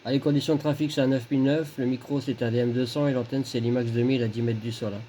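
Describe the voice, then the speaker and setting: Male radio operator, dark relaxed tone, average pitch, matter-of-fact and repetitive, casual contact chat. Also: quick pace, low-quality recording. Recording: faint background noise, radio contact.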